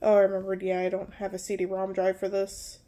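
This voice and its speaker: A woman's voice: mid-range voice, monotone, brisk, mellow. A young woman with a nasal voice from pollen allergies, casually walking through old computer hardware and often correcting herself as she rambles. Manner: rambling, self-correcting, apologetic. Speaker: tech video host.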